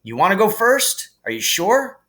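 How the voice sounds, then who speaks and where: A man voicing a blunt, sarcastic and excitable young boy in a storybook, with an exasperated manner. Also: mid-range voice, moderate pace, pitched above the performer's own voice. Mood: concerned. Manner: snarling, exasperated.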